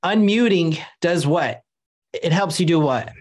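Energetic young man coaching on a call. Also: a little high, measured pace. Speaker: host. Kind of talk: casual sales coaching on a video call. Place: home room with shelves.

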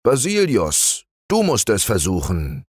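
A man voicing a vain, fussy male bear in a storybook reading, raising his voice high and making it whiny. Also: wildly swooping pitch, slow pace, a little mellow, slightly rough, dry recording, clean studio sound, full character voice.

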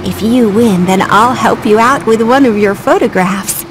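A helpful little girl bird from a video game, played by a woman, with a fairly high voice. She gives friendly, clear instructions over faint ambience. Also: moderate pace, background noise, close to an everyday voice. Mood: friendly, instructive. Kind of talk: scripted game tutorial dialogue.

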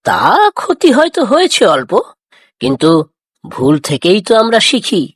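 A woman voicing a kind, patient village farmer father in a moral cartoon, gently coaxing and reassuring. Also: lowish voice, wildly swooping pitch, moderate pace, husky, no room sound, heavily acted. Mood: gently consoling. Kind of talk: Bengali moral cartoon dialogue.

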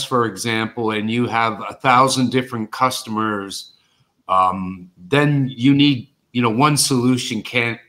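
A man answering on a video call panel, measured with frequent restarts. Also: mid-range voice, bright, forceful tone. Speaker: product manager panelist. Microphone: video call webcam.

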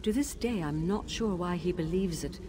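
An actress voicing the ghost of a tormented woman teacher in a video game, speaking in a measured, grave and sorrowful way. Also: on the low side, over music, natural voice. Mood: grateful. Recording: game audio with steady background noise.

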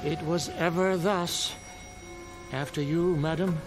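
Radio play: a calm, gentle minister, light tenor. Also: male voice, a little high, slow pace, over music. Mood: courteous. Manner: calm and courteous. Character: gentle, dignified middle-aged pastor.

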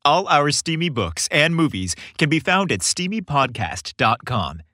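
A man's voice: on the high side, big pitch swings, unhurried, sharp tone, no echo. A man hosting a story podcast, reading scripted narration with a playful, theatrical delivery, close on the microphone.

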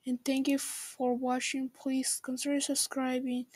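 Her voice is high and fairly flat in intonation. She narrates a casual tutorial as its host, slowly and haltingly, often repeating a word before moving on. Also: no echo. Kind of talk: casual screen-recorded how-to narration.

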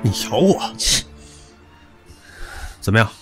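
A man's voice: takes it slowly, soft background music, lightly acted. An actor in an audio drama playing a pushy, teasing young man who talks crudely and with swagger.

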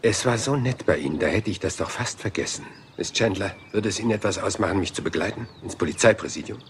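A man's voice: fairly low pitch, quick pace, background noise, natural voice. An actor playing a rumpled, polite police inspector in a crime radio play, apologetic and roundabout in his questions. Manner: apologetic, roundabout, mild and persistent.

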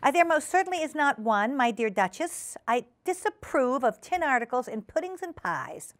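A woman's voice: high-pitched, big pitch swings, normal speed, dry recording, clean studio sound, pitched above the performer's own voice. A woman voicing a genteel, fussy female cat hostess from a storybook, prim, formal and oversweetly polite, with a severe streak. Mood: prim, disapproving.

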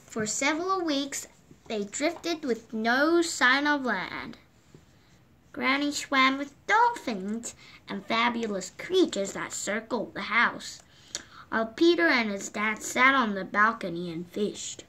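A woman reading a children's picture book aloud at a gentle, unhurried pace, filmed indoors over the pages on a table, lifting her voice for the characters' lines. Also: on the high side, lively intonation. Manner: slow, gentle read-aloud pacing with lifted voices for quoted lines. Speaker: storybook reader. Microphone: phone or camera filming the book from above.

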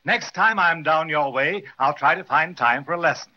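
An actor playing an eager, obliging winter spirit in a hesitant, chatty way for a 1930s children's radio show. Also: male voice, a little high, moderate pace, pushed and bright. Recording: dull 1930s radio transcription, faint noise.

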